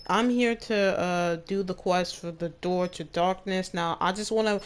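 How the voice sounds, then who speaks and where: A woman talks casually as the host of a gaming video. Her voice is smooth and clean, sitting a little low. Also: steady tone, measured pace.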